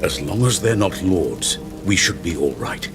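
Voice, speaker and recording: Commanding fantasy-game officer, deep voice, authoritative, music and rain behind. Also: male voice, big pitch swings, unhurried, heavily acted. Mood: firm. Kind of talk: fantasy game dialogue acting.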